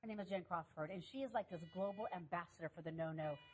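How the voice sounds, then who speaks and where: A woman's voice: fairly low pitch, fast, a little hiss or hum. A woman hosting a shopping channel, talking in enthusiastic selling patter. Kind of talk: shopping channel sales pitch.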